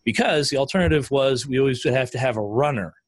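A man hosting a casual panel discussion, telling stories in an animated way and acting out other people's remarks. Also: mid-range voice, quick pace, dry recording. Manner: animated storyteller, acting out quotes. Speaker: podcast host leading a panel discussion. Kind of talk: casual conversational talk.

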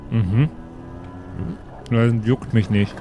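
A man voicing a naive, blunt, childlike oddball with a deadpan, literal-minded way of talking in an improvised audio play. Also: fairly low pitch, extremely slow, over music, pitched below the performer's own voice. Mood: nonchalant.

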